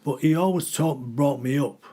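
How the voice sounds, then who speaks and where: Husky older man, rambling stories. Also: fairly high pitch, moderate pace, warm tone. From Scotland. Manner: rambling, repeats words while searching for names. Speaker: guest, former rugby player. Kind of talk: conversational interview storytelling.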